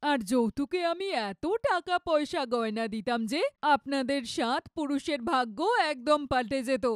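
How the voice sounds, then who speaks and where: A woman's voice: sits high, moderate pace, dry recording, studio quality, close to an everyday voice. A woman playing a boastful, condescending, middle-aged rich mother-in-law in a cartoon story, with a showy, theatrical way of talking.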